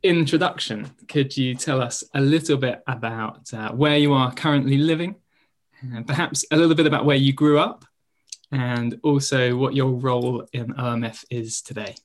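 A man's voice: medium pitch, fairly even intonation. Polite man hosting a podcast. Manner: measured and polite. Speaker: podcast host. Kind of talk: scripted intro, then interview questions.

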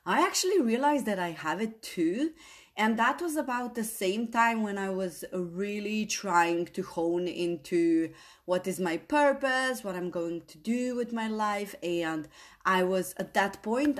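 Slow-talking woman host, English. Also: fairly low pitch. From Slovenia. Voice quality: non-native English phrasing. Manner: earnest, rambling, tag questions. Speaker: podcast host and coach. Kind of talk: casual motivational monologue.

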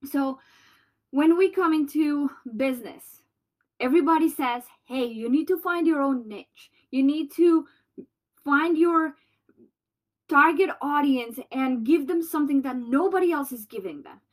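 A woman with a Colombian accent hosting a solo business podcast, bubbly and loud. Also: high-pitched. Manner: bubbly, loud and fast-talking. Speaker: podcast host.

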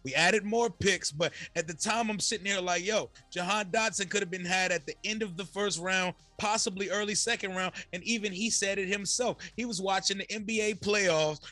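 A slightly hoarse man, a guest content creator, talking energetically and casually on a podcast. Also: sits high, dry recording. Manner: energetic, slangy, fast-flowing.

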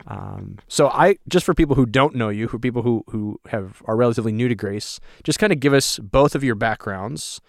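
A man's voice: medium pitch, animated, brisk, vocal fry, few pauses, dry recording, clean studio sound. A man, a pastor hosting a podcast, asking friendly, joking questions of his guests. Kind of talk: podcast interview.

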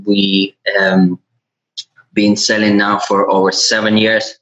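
A man talks as an interview guest. He speaks haltingly, doubling back on his words. The recording is slightly dull and lo-fi. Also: lowish voice, fairly bright tone. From Latvia. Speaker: podcast guest, e-commerce entrepreneur. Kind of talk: conversational interview answers. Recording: dull, lo-fi audio.

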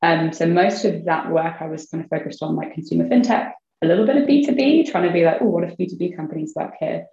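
Low-voiced woman, roomy dull sound. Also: quick pace, creaky, few pauses, warm tone. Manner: chatty, many fillers like "like" and "kind of". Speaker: guest, venture investor. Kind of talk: casual interview chat.

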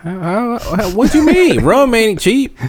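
A man in his late twenties in relaxed podcast chat, drawling easily and laughing often. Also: high voice, unhurried, crowd noise.

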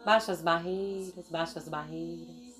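A woman with a Brazilian Portuguese accent leading a guided meditation in a soothing, deliberate manner, leaving long pauses between phrases. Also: on the low side, a bit bright, slight background noise.

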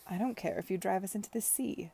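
A woman's voice: medium pitch, fast, gravelly, dark tone, husky. A woman reading a despairing, tart young lady. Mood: weary. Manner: weary, tart. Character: despondent, sharp-tongued young woman.

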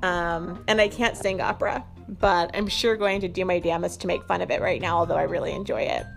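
A woman's voice: on the low side, steady tone, a little fast, creaky, faint music behind. A woman hosting a solo podcast in a casual, rambling stream of consciousness, playful and self-deprecating. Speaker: podcast host.

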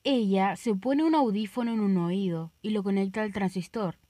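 A woman reading stage directions aloud for a radio play in an even, measured way. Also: medium pitch. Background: faint jazz music.

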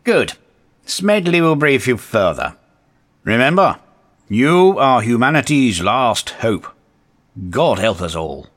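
A man's voice: medium pitch, big pitch swings, slow, pauses often, a bit bright, heavily acted. A man playing an earnest, officious and exasperated agency chief in a comedic audio drama. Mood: resigned, solemn. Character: exasperated, earnest male agency chief briefing misfit heroes.

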